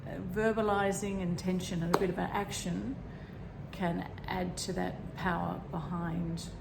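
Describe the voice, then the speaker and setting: A woman with a slightly croaky voice, gently talking through a meditation and often pausing to find her words. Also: lowish voice, little pitch movement. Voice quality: croaky, frog in throat. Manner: gentle, rambling, searching for words. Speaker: meditation teacher. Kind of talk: guided meditation talk. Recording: echoey room with background noise.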